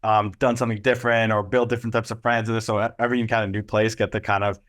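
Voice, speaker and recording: Rambling young man, lowish voice. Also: flat delivery, quick pace, fairly bright tone. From Israel. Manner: loose and rambling, many ums and restarts. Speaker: agency co-founder and CEO. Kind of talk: casual interview answers.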